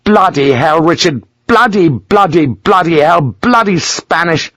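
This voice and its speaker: A man's voice: sits high, steady tone, fast, a bit bright, dry recording, voice pushed up. A man voicing a furious aristocratic British officer who rants and curses in a raised, strained voice. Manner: ranting, explosive, cursing.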